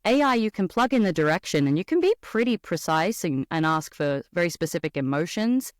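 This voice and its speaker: A woman's voice: lowish voice, a little fast, dry recording, studio quality. A woman with an Australian accent, a voice actor chatting expressively as a podcast guest. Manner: animated, expressive storyteller. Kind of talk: casual podcast conversation.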